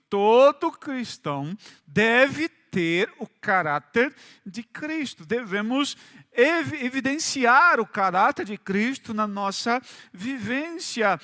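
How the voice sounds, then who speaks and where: A Brazilian pastor preaching with a high, bright voice. He repeats his phrases for weight, sometimes rising to loud emphasis and then falling to a quiet, low delivery. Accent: Brazilian Portuguese. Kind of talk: sermon.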